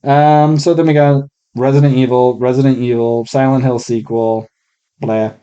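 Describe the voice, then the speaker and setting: A man co-hosting a podcast in casual conversation, recorded with rough audio on a faulty microphone. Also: medium pitch, flat delivery, moderate pace, warm tone, lo-fi sound. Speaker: podcast co-host. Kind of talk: casual chat.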